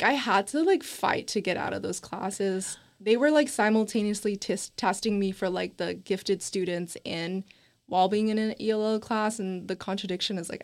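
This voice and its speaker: A woman's voice: medium pitch, normal speed. Chatty young woman, laughing asides. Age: 20s. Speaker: college student panelist. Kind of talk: casual group chat.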